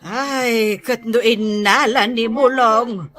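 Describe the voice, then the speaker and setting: A woman's voice: fairly high pitch, steady tone, takes it slowly. A woman with an Ilocano accent playing a long-suffering, plaintive village mother in a comedy drama.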